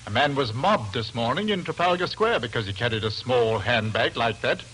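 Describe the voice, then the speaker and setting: Sensible, wary husband for vintage radio drama, man acting. Matter-of-fact yet varied in pitch. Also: lively intonation, moderate pace, a bit bright, lightly acted. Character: dry, cautious, sensible husband. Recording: noisy old radio broadcast.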